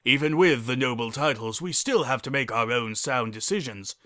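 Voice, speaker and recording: A composed, slightly stuffy noble unicorn father of middle age, as a male reader plays him. He speaks formally and matter-of-factly in a bright storybook voice with a dry sound. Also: medium pitch, no echo, close to an everyday voice. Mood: firm, explanatory. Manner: formal, measured, matter-of-fact.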